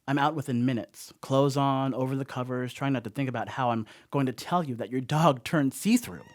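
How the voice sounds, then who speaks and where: An actor playing a rattled, wry slacker who rambles through a confession in a horror comedy radio play. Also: male voice, medium pitch, a little fast, no room sound, studio quality, natural voice. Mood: rambling, sheepish.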